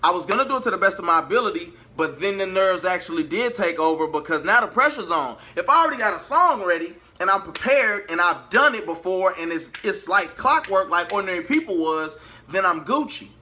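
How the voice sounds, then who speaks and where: A man's voice: sits high, sharp tone, a little hiss or hum. A man telling a personal story to his viewers in a casual, emphatic way, repeating phrases for emphasis. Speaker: vlogger and R&B singer.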